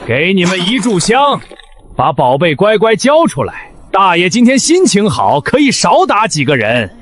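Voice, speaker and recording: An actor playing a coarse, boastful thug villain who makes threats in a fantasy drama. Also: male voice, high-pitched, wildly swooping pitch, normal speed, a bit bright, exaggerated voice. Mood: menacing, gloating. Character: swaggering bullying thug villain demanding treasure. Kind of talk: acted dialogue in a fantasy audio drama.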